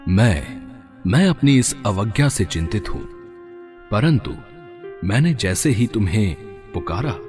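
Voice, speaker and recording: Cartoon god, male actor: kindly, solemn, unhurried, expressive. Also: lowish voice, over music. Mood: troubled. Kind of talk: Hindi mythological moral story voice acting.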